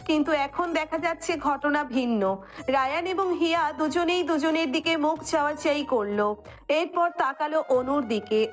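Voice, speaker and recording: Female story narrator, high-pitched voice, scripted delivery, light music bed.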